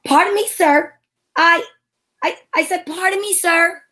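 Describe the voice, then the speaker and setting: A woman's voice: extremely high pitch, unhurried, bright, forceful tone, voice pushed up, full character voice. A woman playing a sassy, wisecracking young lady detective who narrates in an arch, old-time radio comedy style. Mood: indignant, wry.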